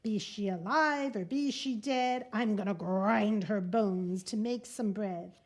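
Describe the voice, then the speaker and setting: Woman doing a loud, booming greedy giant. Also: takes it slowly. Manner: loud booming chant, roaring laughter. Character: huge greedy male giant, bellowing and gloating.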